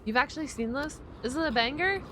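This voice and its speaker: A woman doing casual, chatty reaction commentary, exclaiming and thinking out loud in an informal way. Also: sits high, expressive, quick pace, background noise. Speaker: reaction video streamer and host.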